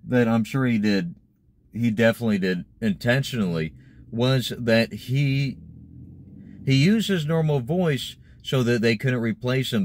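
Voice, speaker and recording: A man's voice: medium pitch, darkish tone. A young man talking casually to camera, explaining things as a vlogger sitting in a parked car. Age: young adult. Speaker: vlogger discussing voice acting.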